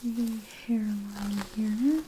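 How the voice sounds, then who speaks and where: A woman doing an ASMR role play as a caregiver, speaking softly and often in a whisper, in a gentle, unhurried, attentive manner. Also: fairly high pitch, low-quality recording. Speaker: ASMR role-player acting as lice checker. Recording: clear background noise.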